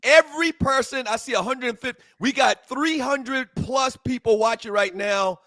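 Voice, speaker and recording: Man, high pitched, sports show co-host, pleading with viewers, dry close mic. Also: moderate pace, sharp tone, no room sound. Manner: pleading, pushes viewers to subscribe and share. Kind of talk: casual live show chat.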